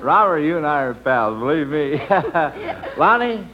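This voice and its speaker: A man's voice: a little high, sing-song, a little slow. A man hosting an old-time radio quiz for children, chuckling and patiently prompting the contestants. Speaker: quiz show host. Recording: dull, noisy old radio recording.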